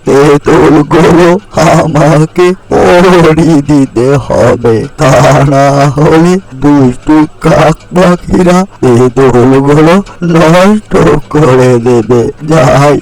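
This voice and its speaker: A man voicing a scolding old village granny in a cartoon fairy tale, with drawn-out, exclamatory phrasing. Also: fairly high pitch, darkish tone, poor audio. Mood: pleased. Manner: slow, drawn-out, exclamatory delivery. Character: scolding old village granny guarding her tamarind tree.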